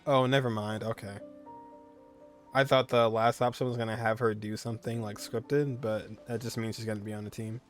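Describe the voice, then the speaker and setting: A man's voice: lowish voice, little pitch movement, moderate pace. A young man casually commenting while playing a video game, chatty with joking asides. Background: faint game music.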